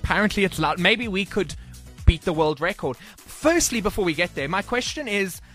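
A man hosting a radio show, chatting casually with a co-host, often laughing and pausing with ums. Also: high-pitched, animated, fairly bright tone, soft background music.

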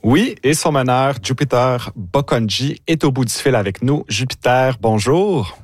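A man's voice: medium pitch, lively intonation, unhurried, breathy edge, dry recording, studio quality. A man conducting a live radio interview as the interviewer.

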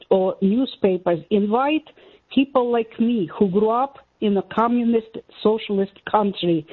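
A woman's voice: mid-range voice, husky. A woman from Ukraine with a Ukrainian accent, calling in to a talk show and asking her question earnestly and emphatically. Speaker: caller. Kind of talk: call-in question. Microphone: telephone. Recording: phone line.

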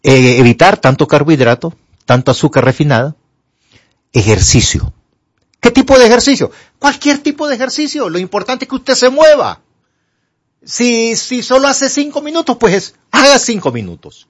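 A man's voice: very high voice, lively intonation, normal speed. A Honduran man speaking as a doctor, giving conversational advice emphatically and didactically, asking and answering his own questions. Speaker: doctor, studio guest answering health questions. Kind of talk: conversational medical advice for a broadcast audience. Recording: dull, lo-fi broadcast audio.

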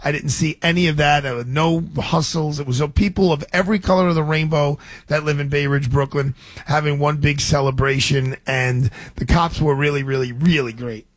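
A man's voice: fairly high pitch, fairly even intonation, brisk, dry recording. A man hosting a radio talk show, chatting energetically with frequent restarts.

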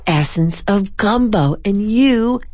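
A woman's voice: medium pitch, lively intonation, slow, darkish tone, no echo. A woman hosting a food radio program, speaking in an effusive, emphatic manner. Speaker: radio host and interviewer. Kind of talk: food interview and scripted radio narration.

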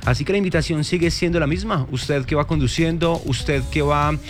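A man with a Colombian accent hosting a morning radio traffic segment, brisk and upbeat on a studio microphone. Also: fairly high pitch, faint music behind. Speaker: radio host.

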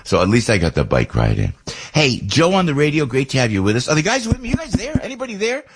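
A man's voice: fairly high pitch, wildly swooping pitch, fast, a crowd in the background. An older man hosting a radio talk show, rambling and chatty, laughing often and restarting his sentences.

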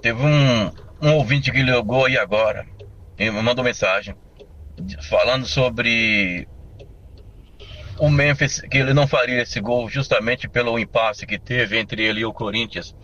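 A man's voice: mid-range voice, unhurried, bright, forceful tone. A man with a Brazilian accent giving a casual opinion as a radio listener. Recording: dull lo-fi voice message sound.

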